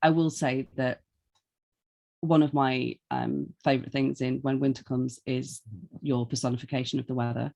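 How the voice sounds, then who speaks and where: Low-voiced teasing woman co-host. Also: flat delivery, normal speed, creaky, mellow. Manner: dry, teasing humour. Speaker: podcast co-host reading listener questions. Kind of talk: casual chat.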